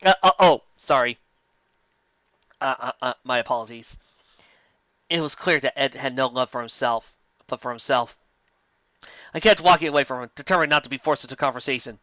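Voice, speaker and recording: A man giving a sarcastic, theatrical dramatic reading of fan fiction and breaking in with excitable commentary. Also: mid-range voice, moderate pace, pauses often, dry recording, highs cut off.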